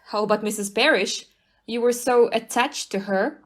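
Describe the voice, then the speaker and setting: Brisk higher-voiced police inspector played by a woman. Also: close to an everyday voice. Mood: puzzled. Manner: brisk, matter-of-fact. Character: brisk, no-nonsense police inspector hunting a murderer. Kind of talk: amateur audio play acting.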